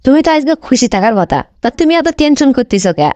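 Woman as pushy young girlfriend, north bengal accent, talks fast n high, coaxing, cartoon comedy. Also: very animated, a little mellow, no echo, highs cut off. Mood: reassuring, coaxing. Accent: North Bengal Bangladeshi. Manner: insistent, coaxing pleading. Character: pushy, lovestruck young village girlfriend and new bride.

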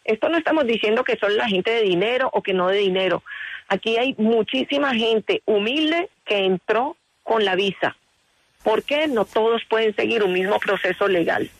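A woman's voice: mid-range voice, dry recording, rough recording. A woman answering a radio interview with earnest insistence and frequent restarts. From Colombia. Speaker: interview guest.

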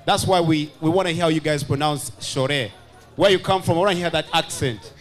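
A man's voice: fairly high pitch, a little fast. A man with a Ugandan accent hosting a nightclub launch party, playfully teasing guests with a handheld mic and switching into Luganda. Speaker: event host interviewing guests. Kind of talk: lively party vox pop. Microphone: handheld microphone. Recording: party music and crowd behind.

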